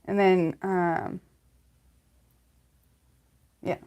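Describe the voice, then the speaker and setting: A young woman with a slight lisp, chatting casually on a livestream, halting often with fillers and restarts. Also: fairly low pitch, level tone, a little mellow, smooth. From California, United States. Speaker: livestream host.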